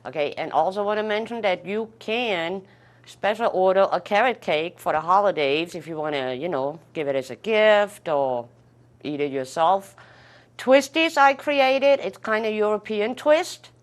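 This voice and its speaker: A woman's voice: mid-range voice, a little slow. A woman, a baker, chatting in a friendly, matter-of-fact way about her baked goods. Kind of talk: casual chat.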